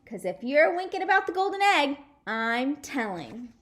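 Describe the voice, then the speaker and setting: A woman's voice: sits high, sing-song, normal speed, fairly bright tone, pitched above the performer's own voice. A woman voicing a grouchy, suspicious tattletale little girl, scolding emphatically in a children's storybook. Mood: grouchy warning.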